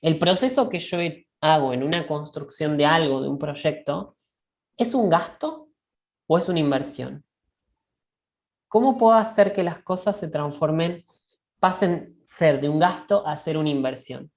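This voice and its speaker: A woman with a notably low voice talks through ideas slowly and reflectively. She pauses often and restarts phrases. She keeps checking in with her listener, as if over a video call. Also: darkish tone. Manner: thoughtful, halting, often checks in with a questioning "right?". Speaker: discussion co-host. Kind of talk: reflective conversational dialogue.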